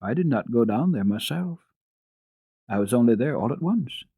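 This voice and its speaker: A man voicing a small, frightened, homesick girl in a storybook, with a halting, faint and earnest delivery. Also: medium pitch, animated, warm tone, slightly rough, dry recording. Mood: bewildered.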